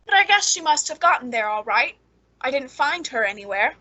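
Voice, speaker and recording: A woman's voice: high-pitched, big pitch swings, a little fast, bright, forceful tone, dry recording, full character voice, rough recording. An actress playing a lonely, eager, nervously polite woman in an old-time radio suspense drama, hesitant and genteel. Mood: nervous, friendly.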